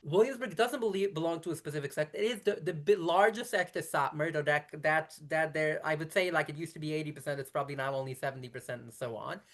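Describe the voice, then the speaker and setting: A woman rabbi in her thirties from brooklyn, yiddish first language, talks fast in long explaining runs, keeps restarting and fixing her words mid-sentence. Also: sits low. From Brooklyn, New York. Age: 30s. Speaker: rabbi, interview guest. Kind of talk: conversational interview.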